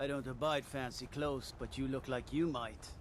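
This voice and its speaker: An actor playing a blunt town guard in a game. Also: male voice, mid-range voice, fairly even intonation, moderate pace, natural voice. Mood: dismissive. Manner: blunt, dismissive. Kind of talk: game voice acting. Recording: noisy game ambience.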